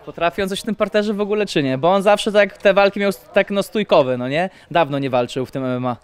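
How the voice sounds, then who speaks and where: A man's voice: high voice, fast talker. A young man interviewing a coach with a handheld mic at an indoor press wall. He asks chatty questions full of filler words. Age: young adult. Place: indoor press area in front of sponsor banner wall.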